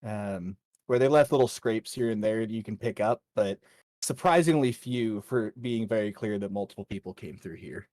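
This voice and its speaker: Man narrating haltingly as game master. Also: fairly low pitch, darkish tone, no room sound. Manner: halting, pausing often mid-sentence. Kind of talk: improvised narration of a tabletop game.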